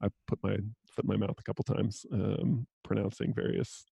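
Husky, creaky-voiced male podcast host. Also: on the low side, little pitch movement, moderate pace, mellow, no room sound. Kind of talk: casual chat.

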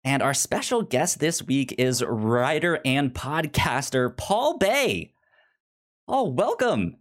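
A man's voice: mid-range voice, very animated. A man hosting a podcast in a casual interview style, often stumbling and repeating words as he talks. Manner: halting, stammering over words. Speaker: podcast host.

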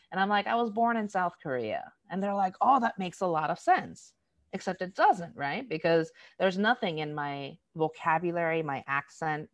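An American woman speaking in an interview, explaining things in an animated, storytelling way and acting out quoted dialogue. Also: deep voice, dry recording. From United States. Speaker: diversity educator. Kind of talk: conversational interview.

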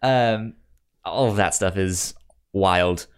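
A man co-hosting a film podcast in casual conversation, halting and often stammering over repeated words. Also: deep voice, smooth. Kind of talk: casual movie discussion.

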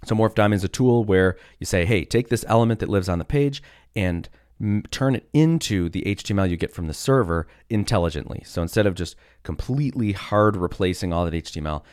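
A man hosting a casual solo podcast, sick with a cough that has deepened his voice, rambling with many fillers and restarts. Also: fairly quick, darkish tone, clear, resonant tone, dry recording, studio quality. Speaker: podcast host.

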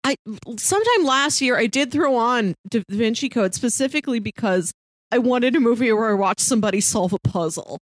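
A woman's voice: on the high side, expressive, fairly quick, no room sound. A woman co-hosting a podcast, talking through films in a casual, thoughtful, conversational way. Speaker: podcast co-host. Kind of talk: casual movie discussion.

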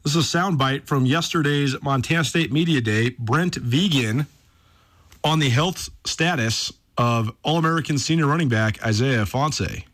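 A man's voice: medium pitch, normal speed, fairly bright tone. A man speaking as a sports radio host, giving informal, enthusiastic commentary in a conversational style.

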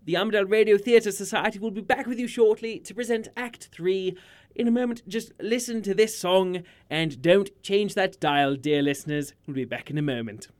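A man's voice: sits high, moderate pace. A man working as a radio continuity announcer, addressing listeners in a playful presenting style.

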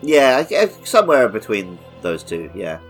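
A man's voice: fairly low pitch, wildly swooping pitch, moderate pace, soft background music. A man co-hosting a podcast, explaining things in a casual, conversational way. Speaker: podcast host.

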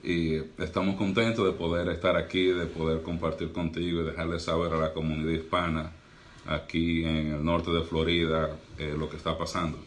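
Deep-voiced young man. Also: flat delivery, moderate pace, slight background noise. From Dominican Republic. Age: young adult. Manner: earnest, reflective, uses fillers like eh. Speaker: guest, doctor and pastor. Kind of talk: podcast interview storytelling.

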